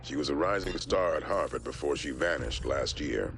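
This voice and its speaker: An actor playing a grizzled male intelligence briefer, gravelly and terse, in a game cutscene. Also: extremely low pitch, normal speed. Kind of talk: video game intel narration.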